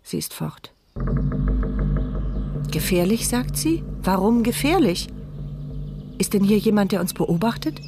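Anxious woman, wry intimate inner monologue, radio thriller narration, middle-pitched, faint music underneath. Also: big pitch swings, measured pace, long pauses. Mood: frightened. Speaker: first-person narrator. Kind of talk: German radio crime drama, interior monologue.

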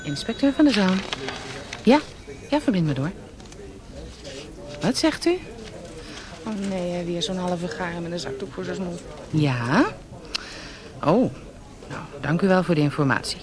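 An actress in a Dutch radio drama playing a brisk, dry-witted female police inspector with a bossy, teasing manner. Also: on the low side, expressive, a crowd in the background. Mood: dry, busy. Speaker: actor in a radio play.

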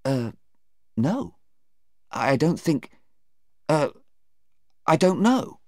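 Man voicing a distressed young woman, pitched high. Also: sing-song, drawn-out words, a little mellow, no echo, studio quality, full character voice. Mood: hesitant, nervous. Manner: hesitant, pleading. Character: distressed young woman on trial, tearful and defensive. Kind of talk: audiobook character voice.

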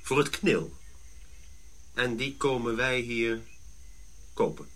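Radio drama role: principled Dutch army adjutant, animated pitch, slow deliberate pace, cricket-filled backdrop. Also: male voice. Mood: doubtful, probing.

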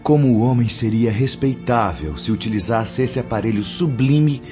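An actor playing a solemn male spirit teacher in a radio drama, with a Brazilian accent and a reverent, measured, declamatory delivery. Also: medium pitch, lively intonation, warm tone, lightly acted. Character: Brother Clemente, solemn male spirit teacher instructing pupils. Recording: dull lo-fi audio under music.

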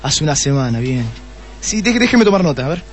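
An actor playing a shrewd, testy police detective in a radio play, with an Argentine accent and a deliberate way of questioning. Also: male voice, a little high, big pitch swings, slightly rough, faint music behind. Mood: focused, satisfied. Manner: deliberate, repeats answers back pointedly. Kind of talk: Spanish-language radio play acting.

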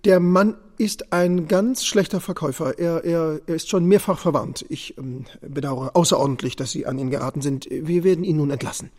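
A man acting an officious shop supervisor in a radio drama. He has a fairly high, husky voice, with a servile politeness that carries a stern edge. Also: moderate pace, few pauses, a little mellow, close to an everyday voice. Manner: servile to customers, stern to staff. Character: obsequious, officious department store supervisor. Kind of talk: German radio play acting.

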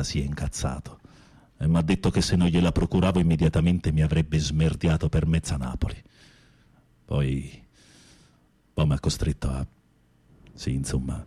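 Husky, low-voiced theatrical prisoner. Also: male voice, dark tone. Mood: bitter confession. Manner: theatrical, sardonic, with drawn-out musing. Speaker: radio drama actor. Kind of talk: Italian radio theatre acting.

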